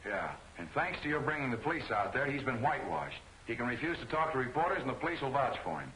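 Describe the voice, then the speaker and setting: An actor playing a confident, wry young newspaper editor in an old 1940s film serial. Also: male voice, mid-range voice, sing-song, fast, bright, forceful tone, slight background noise. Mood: brisk, wry. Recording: echoey old film soundtrack, faint music.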